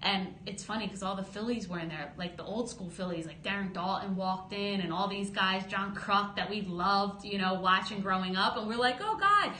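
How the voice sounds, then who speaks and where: A woman in her thirties, a boxing promoter, telling her story in a lively podcast interview at a close studio mic. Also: mid-range voice, normal speed, hardly any pauses. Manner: lively storytelling, gestures while talking, acts out quotes. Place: small studio office, indoors. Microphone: studio boom mic close up. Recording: roomy studio with faint hum.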